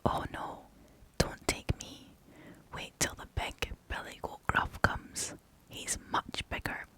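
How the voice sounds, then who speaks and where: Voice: slow pace, natural voice. A British storyteller voicing a middle-sized, pleading male billy goat from a storybook in a whisper.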